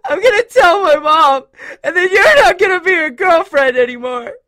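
A woman's voice: high voice, sing-song, brisk, a bit bright, exaggerated voice. A woman voicing an earnest, vulnerable child cartoon pony with a plaintive, childlike delivery in a dramatic story reading. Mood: tearful. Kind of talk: dramatic fan-fiction character acting.

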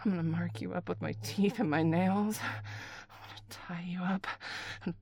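A woman's voice: lowish voice, fairly quick, gravelly, mellow, dry recording. A woman voicing a domineering, possessive lover who is angry and needy, and who often drops to a whisper. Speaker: voice actor in an adult audio roleplay. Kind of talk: acted voicemail monologue.

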